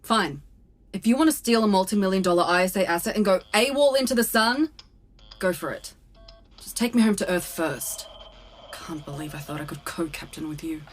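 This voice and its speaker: An actress playing a practical, dry, easily exasperated starship co-captain in a sci-fi comedy audio drama. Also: female voice, medium pitch, lively intonation, moderate pace, slight background noise, close to an everyday voice. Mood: exasperated, hurt.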